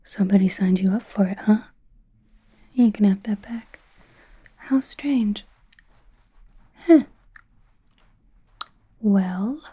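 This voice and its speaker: A woman voicing a nervous, awkward young female werewolf medical student in a halting, breathy, mostly whispered ASMR roleplay. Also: mid-range voice, warm tone. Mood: awkward, gentle. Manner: flustered, halting, apologetic, self-coaching mumbles.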